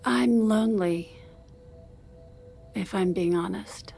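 A lonely woman in an audio drama, speaking slowly at a medium pitch. She is halting and candid, often hushed. Faint music sits behind her. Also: vocal fry, breathy edge, full character voice. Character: lonely, hesitant woman who records intimate fantasies. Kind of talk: naturalistic audio drama acting.

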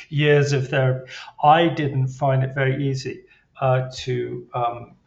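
A man's voice: mid-range voice, little pitch movement, a little slow, creaky. A man who grew up in Switzerland, a psychotherapist guest, speaking hesitantly with many fillers and restarts. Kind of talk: interview conversation. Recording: dull lo-fi roomy sound.

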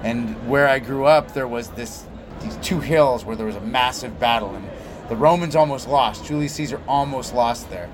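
A man from Belgium answers interview questions in a relaxed, rambling, storytelling manner. His voice is mid-pitched, and clear background noise from a busy convention floor surrounds him. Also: normal speed. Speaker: comic writer guest.

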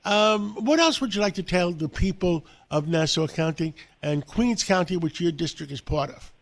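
A man in his seventies hosting an interview, speaking haltingly with many fillers. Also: high-pitched, lively intonation. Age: 70s. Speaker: host. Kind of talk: radio interview.

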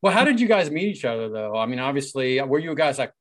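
A man's voice: medium pitch, big pitch swings, fast talker. A middle-aged man co-hosting a podcast, joking with guests and asking long, rambling questions. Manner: joking, asks rambling multi-part questions. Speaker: podcast co-host. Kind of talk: casual interview chat.

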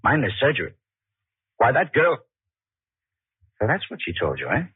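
An actor playing a stern, probing doctor, curt and insistent, in a 1940s radio drama. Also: male voice, mid-range voice, huge pitch swings, moderate pace, slightly rough, no echo, full character voice. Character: stern, probing male doctor questioning a suspect. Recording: tinny, narrow 1940s radio recording.

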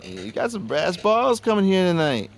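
An actor playing a laid-back, evasive young party drug dealer who talks in stoner slang, in a naturalistic audio drama. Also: male voice, high-pitched, very animated, normal speed, vocal fry, heavily acted. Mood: wary, gossipy. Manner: slangy stoner talk, peppered with bro and man. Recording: faint ambient background noise.

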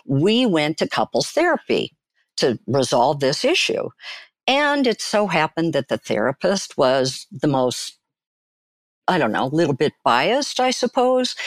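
An older woman telling personal stories as an interview guest, phrasing deliberately and emphatically with pauses. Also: low voice, no echo. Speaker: guest, therapist and author.

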